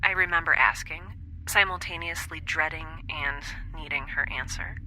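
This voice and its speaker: Very bright-voiced, wry space scientist. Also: female voice, low voice, slight background noise, low-quality recording. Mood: pensive, confiding. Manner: conversational, halting, confiding, self-deprecating. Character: wry, anxious young woman scientist living in space. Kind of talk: scripted audio drama monologue, like a personal log.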